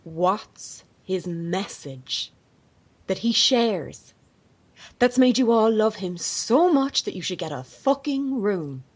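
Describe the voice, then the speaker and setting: An actress playing a blunt, sceptical reporter in an audio drama, sarcastic and confrontational. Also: female voice, mid-range voice, expressive, takes it slowly. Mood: scornful. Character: defiant, sardonic female reporter, sceptical and blunt.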